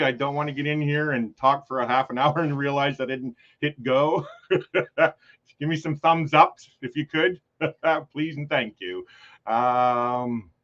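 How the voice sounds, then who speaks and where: A man hosting a casual live stream with a loud voice and a loose, jokey, easily sidetracked way of talking. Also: medium pitch. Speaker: livestream host. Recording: dull lo-fi livestream audio.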